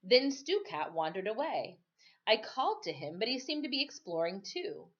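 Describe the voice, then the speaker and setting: A woman reading a storybook aloud like a teacher, narrating expressively and pausing to explain. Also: on the high side, very animated, muffled recording.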